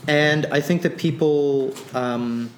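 A man's voice: fairly high pitch, slow pace. Chatty man with a faint noisy backdrop. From Nova Scotia, Canada. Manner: rambling, many restarts, animated when excited. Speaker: author guest. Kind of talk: conversational interview.

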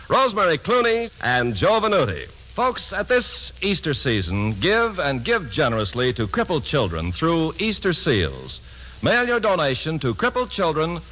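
Bright-voiced man as a 1950s radio announcer. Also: fairly high pitch, huge pitch swings, moderate pace, a little hiss or hum. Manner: crisp, declamatory announcer delivery. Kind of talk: formal broadcast announcement. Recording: old 1950s radio broadcast in a reverberant studio.

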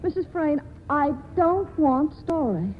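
A woman's voice: high-pitched, animated, measured pace. An actress in a 1940s radio drama playing a proud, earnest young woman disillusioned in love, speaking bluntly. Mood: earnest, defiant. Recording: tinny, noisy 1940s radio broadcast.

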